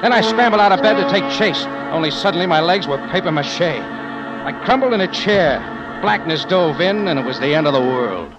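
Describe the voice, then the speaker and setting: A man's voice: a little high, animated, normal speed, natural voice. A male actor with an American accent playing a tough, weary adventurer narrating his own story in a wry 1940s radio drama style. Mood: tense, urgent. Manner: hard-boiled, wry, dramatic. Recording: dull vintage radio recording, music behind.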